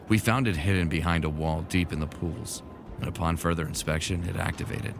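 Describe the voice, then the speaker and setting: A man's voice: a bass voice, vocal fry, noisy background. A man playing a weary, remorseful futuristic soldier in an earnest, brooding way, narrating and speaking in a sci-fi audio drama. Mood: grave.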